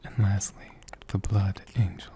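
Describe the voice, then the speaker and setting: A soft ASMR host speaks in a breathy whisper. The delivery is slow and gentle, with deliberate pauses between short phrases. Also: deep voice, flat delivery. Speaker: host of an ASMR channel answering viewer questions.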